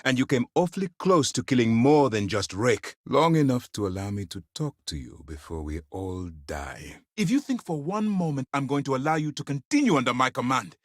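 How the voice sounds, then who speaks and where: A stern principled navy commander in a space game, formal n commanding, very dynamic delivery, super dry close sound. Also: male voice, mid-range voice, no room sound. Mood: stern, angry. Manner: formal, measured, authoritative. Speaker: video game voice actor. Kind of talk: scripted game dialogue.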